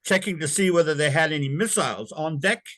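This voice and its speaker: An older man, born in England and raised in Canada, recalls his navy years in an interview, talking in a halting way with many fillers and restarts. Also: a little high, background noise. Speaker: interview guest, former navy sailor. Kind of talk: casual recollection in an interview.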